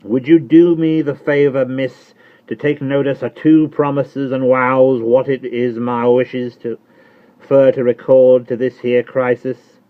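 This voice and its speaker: A trembling manservant, as a male narrator puts him on holds forth in a slow, pompous, preachy drawl. His voice sits well above the reader's own and comes out loud and earnest. The recording is muffled and lo-fi. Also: medium pitch, little pitch movement, pitched above the performer's own voice, lightly acted. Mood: solemn. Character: solemn trembling Cockney manservant, uneducated.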